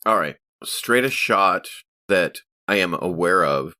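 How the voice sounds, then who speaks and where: A man playing a tabletop role-playing game, casually and haltingly thinking his plans aloud. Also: low voice, expressive, bright, forceful tone. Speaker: player in a tabletop RPG.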